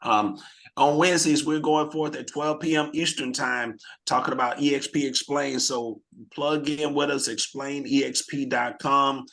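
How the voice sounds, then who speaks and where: A slightly hoarse man hosting a team video call, rallying the group with questions in an energetic, motivational way. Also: medium pitch, normal speed. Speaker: team leader hosting a real estate mastermind call.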